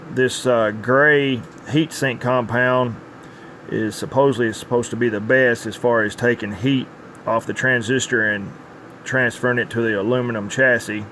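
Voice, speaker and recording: A man's voice: medium pitch, a bit bright. Man demonstrating repair work, faint background noise. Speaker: CB radio repair technician presenting his tune-up work. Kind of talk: informal workbench demonstration.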